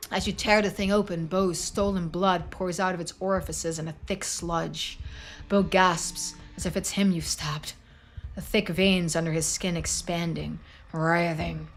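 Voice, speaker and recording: A woman's voice: on the low side, fairly even intonation, measured pace, faint music behind. A woman reading dramatic scripted narration for a story-driven video game. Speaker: video game voice-over reader.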